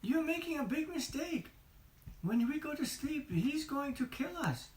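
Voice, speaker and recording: A woman's voice: lively intonation, unhurried, a little mellow. A woman voicing a distrustful, worried male adventurer in a bedtime story. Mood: alarmed warning. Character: Sam, distrustful, alarmed male companion. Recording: roomy, faint background noise, low volume.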